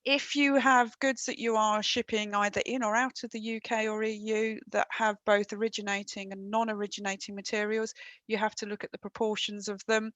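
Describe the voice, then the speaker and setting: A woman's voice: mid-range voice, level tone, a little fast, fairly bright tone, no room sound. Woman giving a webinar talk. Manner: conversational, frequent ums, dry wry asides. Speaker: trade and customs presenter. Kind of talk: webinar presentation. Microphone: headset.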